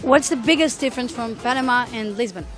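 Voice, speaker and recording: A woman's voice: on the high side, fast talker, a bit bright. Friendly woman interviewer over music. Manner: friendly, encouraging questions. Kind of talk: street interview.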